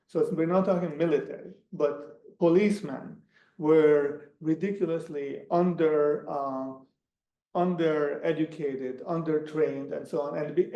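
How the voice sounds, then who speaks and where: Gravelly man, Hungarian native, echoey room. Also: on the high side, dull audio. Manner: halting, many restarts and fillers. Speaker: guest speaker. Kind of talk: academic talk answering questions. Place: lecture room.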